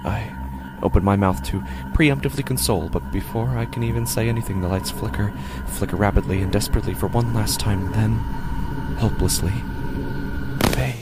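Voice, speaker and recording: A man playing a weary, frightened father who narrates a horror audio drama in a tense, brooding way, often dropping to a breathy hush. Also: lowish voice, a little fast, very noisy, natural voice. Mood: weary dread. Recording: music under the voice.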